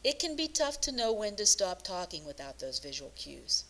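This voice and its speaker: A woman presenting scripted instructional narration as a career coach, speaking in a measured, instructive manner. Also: mid-range voice, big pitch swings, fairly bright tone.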